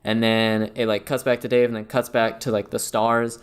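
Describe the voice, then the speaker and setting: Smooth-voiced man retelling a film. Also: fairly low pitch, normal speed. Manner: animated retelling, many "like" fillers. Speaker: podcast co-host. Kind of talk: casual film discussion.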